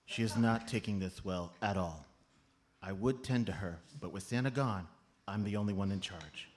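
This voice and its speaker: A man's voice: lowish voice, normal speed, a little mellow, close to an everyday voice. A man playing a tiny, solemn elf foreman with a long-winded official manner in a live comedy radio play. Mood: pressured, serious. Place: live on stage at a comedy club.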